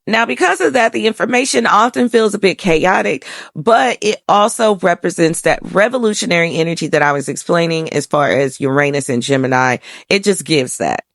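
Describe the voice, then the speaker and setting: A woman's voice: on the low side, no room sound. Casual American woman, dry close recording. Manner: conversational, colloquial, emphatic, with frequent check-in tags. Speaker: astrology host. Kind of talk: casual explanatory monologue to viewers.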